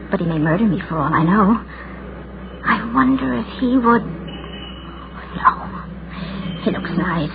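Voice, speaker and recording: An actress playing the inner voice of an anxious, lonely spinster, fretful and wavering, in 1940s radio style. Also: female voice, a little high, narrow, tinny audio. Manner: fretful, self-arguing, wavering. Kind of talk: 1940s radio acting, interior monologue. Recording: noisy, thin 1940s radio recording.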